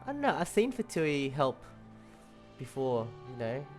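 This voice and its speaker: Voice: medium pitch, sing-song, unhurried, music in the background. A game streamer giving casual live reactions, musing in an easygoing, hesitant way.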